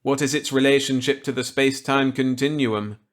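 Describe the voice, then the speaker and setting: A man's voice: mid-range voice, fairly even intonation, normal speed, pitched above the performer's own voice, close to an everyday voice. A man voicing a genial, wry, unrepentant scientist in a storybook reading, with clipped, breezy delivery. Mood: earnest, assured.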